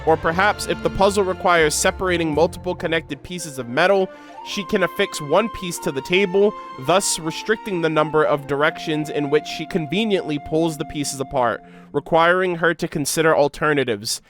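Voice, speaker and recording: A man's voice: a little high, over music. A man reading game lore aloud and breaking into casual, slangy commentary as a video creator. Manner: informal slangy asides between measured reading.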